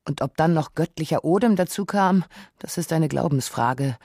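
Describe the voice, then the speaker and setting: A woman reading a scripted radio documentary narration in a measured, reflective way. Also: low voice, darkish tone.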